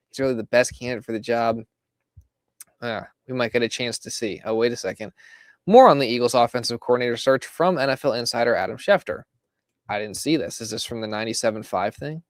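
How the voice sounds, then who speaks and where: Hoarse male live-stream host, casual and chatty, mid-range pitch, dry close mic. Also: no echo. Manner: chatty, laughs between lines, reads out viewer comments. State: hoarse, losing his voice. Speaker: fan show host. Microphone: desk microphone.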